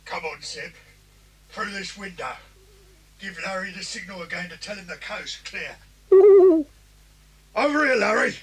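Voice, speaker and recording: An actor playing a gruff adult crook who leads a gang, barking orders in a menacing way. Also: male voice, high-pitched, animated, unhurried, pauses often, pushed and bright, exaggerated voice, low-quality recording. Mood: furtive.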